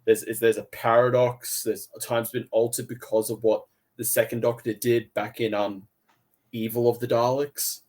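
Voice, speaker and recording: Australian man, eager podcast co-host, mid-pitched voice with an ordinary range, casual review talk full of restarts. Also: little pitch movement, normal speed, darkish tone. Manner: enthusiastic, with frequent restarts.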